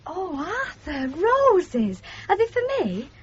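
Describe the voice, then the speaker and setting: An actress playing a sweet, eager, shy young bride with a Northern English accent in a radio drama, speaking tenderly and gushingly. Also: female voice, high voice, huge pitch swings, slow pace, full character voice. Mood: delighted. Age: young adult. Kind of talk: 1970s radio drama acting. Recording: muffled 1970s radio broadcast, music behind.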